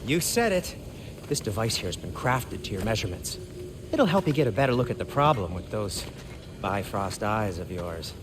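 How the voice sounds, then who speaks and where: An actor voicing a fussy, dry-witted dwarf craftsman in a video game, sardonic and excitable. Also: fairly low pitch, normal speed, background noise. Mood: fussy, explaining. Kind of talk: video game character acting.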